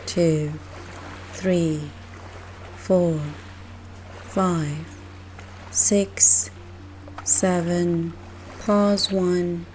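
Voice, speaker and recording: Soft gentle lady doing guided meditation, warm relaxed voice, really slow w long pauses, faint water noise behind. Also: lowish voice, low-quality recording.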